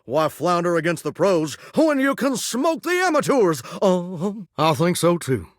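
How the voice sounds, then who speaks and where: A man's voice: high-pitched, huge pitch swings, a little slow, no echo, clean studio sound, heavily acted. A man with a Southern US accent voicing a folksy, earnest, starry-eyed singing shower in a dating game, drawling homespun sayings. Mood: eager, flirty. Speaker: voice actor in a dating sim game. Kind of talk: expressive video game character dialogue.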